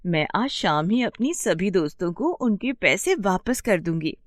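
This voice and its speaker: Woman voicing an encouraging young village sister, lively intonation. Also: medium pitch, unhurried, dry recording, studio quality, natural voice. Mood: happy. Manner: reassuring, earnest. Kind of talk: animated moral story character dialogue.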